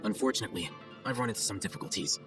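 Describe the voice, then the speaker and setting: Man as an aloof sardonic game scholar, lowish voice, brisk pace, condescending. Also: far from the mic, music in the background, close to an everyday voice. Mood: detached. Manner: dry, detached, condescending. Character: aloof, self-assured male scholar, coolly sardonic. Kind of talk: video game cutscene voice acting.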